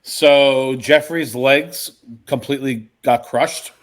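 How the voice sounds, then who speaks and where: A man co-hosting a podcast with casual, deadpan banter among friends. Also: medium pitch, slow. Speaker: podcast co-host.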